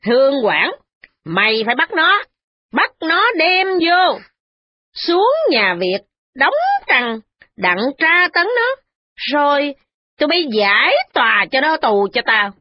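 A woman's voice: high-pitched, very animated, measured pace, pitched above the performer's own voice. A furious, domineering older lady, as a woman narrator puts her on. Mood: commanding. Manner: scolding, threatening, commanding. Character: domineering older woman, a canton chief's wife, quick-tempered. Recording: dull lo-fi audio with music.

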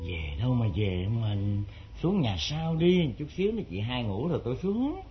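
A man's voice: mid-range voice, big pitch swings, normal speed, crowd noise, lightly acted. An actor playing a weak, hot-tempered husband and mother's boy in a melodrama, wheedling and blustering, with a southern Vietnamese accent. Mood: wheedling, evasive. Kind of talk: Vietnamese stage melodrama acting. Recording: dull lo-fi old drama recording.

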